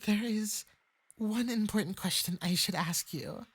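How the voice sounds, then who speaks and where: A performer with a light, breathy voice playing a clingy, lovestruck fox-spirit femboy mage in an intimate ASMR roleplay, coaxing sweetly and possessively. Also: mid-range voice, unhurried. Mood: sheepish, devoted. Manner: sweetly coaxing, possessive, swinging into eager excitement.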